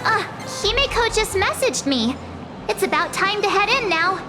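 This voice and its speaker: A bubbly teenage adventurer in a video game. She is very high and animated, chatty, naive and kind-hearted. Music is clearly heard behind her. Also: moderate pace. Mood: casual. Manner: chatty, expressive.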